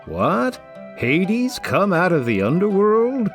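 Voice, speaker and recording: A jovial king of the gods in an audio drama, hearty and teasing. The voice is fairly high for a man and swoops dramatically up and down, with music behind it. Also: huge pitch swings, slow pace, exaggerated voice. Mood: astonished.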